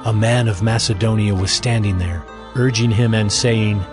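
A man narrating scripture as a scripted audio drama, in a measured, formal manner. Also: on the low side, steady tone. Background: music.

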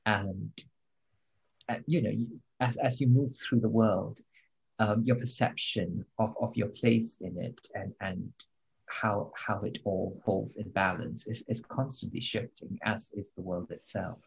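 A man answering as a guest, speaking in a measured, reflective way with small hesitations. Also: on the low side, fairly even intonation, vocal fry, slightly rough, rough recording. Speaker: literary translator, guest. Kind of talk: interview answer.

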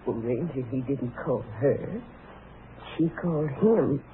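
A woman's voice: a bass voice, lively intonation, slow pace, full character voice. A bitter older mother in grief, earnest and mournful. It is old radio drama acting. The recording is narrow-band with faint noise. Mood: sad, insistent.

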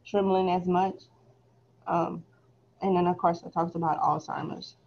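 A soft-spoken woman teaching a relaxed phlebotomy review lecture over a video call, chatty and laughing easily. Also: fairly low pitch, a little slow, creaky, a little hiss or hum. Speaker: phlebotomy instructor. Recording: slightly dull video call audio.

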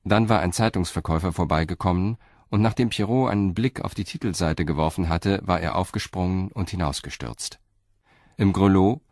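A man's voice: low voice, dry recording, clean studio sound. A man reading scripted narration for a crime radio play.

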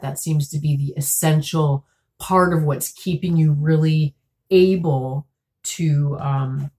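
A woman in her thirties giving a tarot reading to camera at home, a bit congested and raspy from a cold, talking in a soft, musing way with many fillers. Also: low-pitched. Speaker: tarot reader. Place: small room at home, indoors.

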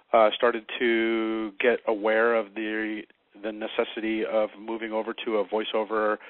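An American man answering interview questions as a sales manager, measured and pausing often as he explains. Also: lowish voice, no echo. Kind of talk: interview answers about products. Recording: narrow muffled phone line.

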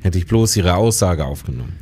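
Guy doing a deep-voiced dutiful cop in a crime radio play, self-reproaching, noisy background. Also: lively intonation, fairly quick, mellow. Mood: distressed, regretful. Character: dutiful, self-reproaching male police officer. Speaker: radio drama actor.

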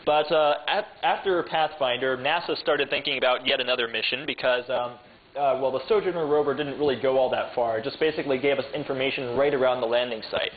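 A man's voice: medium pitch, fast. A young man giving an informal slide talk to a club in a classroom, holding a handheld mic, chatty and full of fillers. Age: young adult. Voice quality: loud voice. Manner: loose and chatty, many fillers. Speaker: club speaker. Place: classroom with projector screen. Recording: tinny lo-fi, far from camera.

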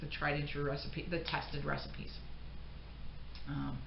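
A woman's voice: low voice, little pitch movement, unhurried, creaky. Middle aged american lady rambling to camera in her pantry, unscripted homestead vlog, echoey kinda muffled sound. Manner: rambling, unscripted, often breaking off mid-thought. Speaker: homestead vlogger. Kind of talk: casual chat to camera. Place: indoor pantry room with shelves of jars. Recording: dull, echoey room sound with faint noise.

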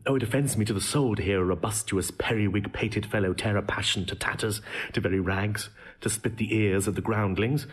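An exacting, eloquent young prince, lectures in classical prose. His voice is low and husky, his delivery articulate and didactic, as in Shakespearean audio drama.

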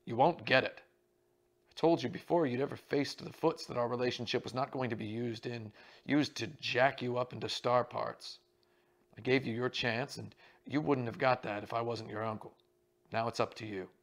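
A man doing a rumbling, domineering old magnate. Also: steady tone, moderate pace, gravelly, close to an everyday voice. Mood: gruff. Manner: rumbling, blunt. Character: coarse, domineering older male theater magnate.

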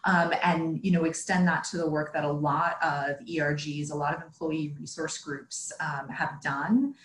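A woman's voice: low-pitched, steady tone, moderate pace, a little hiss or hum. A woman moderating a panel conversationally over a roomy-sounding video call. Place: indoor room, video call.

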